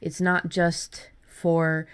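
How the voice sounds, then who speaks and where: A woman's voice: on the low side, monotone, drawn-out words, clear, resonant tone. A woman hosting a podcast, giving conversational advice in an explanatory, encouraging way. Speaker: podcast host.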